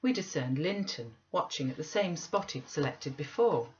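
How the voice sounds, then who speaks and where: A woman's voice: sits low, animated, moderate pace, highs cut off, a little hiss or hum. A woman narrating a literary audiobook from a script. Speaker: audiobook reader.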